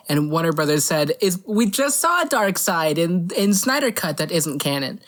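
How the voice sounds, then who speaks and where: Woman, podcast co-host, lowish voice, very lively intonation, casual, playful. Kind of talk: casual movie news chat.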